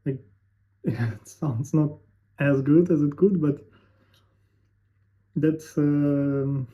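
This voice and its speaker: Musing young man, tinny lo-fi sound. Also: on the high side. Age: 20s. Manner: musing, halting, thinking aloud with fillers. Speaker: vlogger. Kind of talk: unscripted talking to camera.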